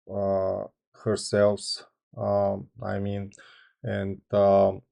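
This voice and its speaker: A man in his thirties with a Bulgarian accent, a software engineer talking to camera without a script, hesitant, with many ums and restarts. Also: lowish voice, gravelly. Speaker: software engineer channel host. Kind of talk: unscripted talk to camera.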